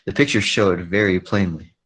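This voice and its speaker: A man playing a quiet, lovelorn but stubborn circus man in a 1940s radio drama, hesitant and repeating himself. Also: deep voice, brisk. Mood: accusing.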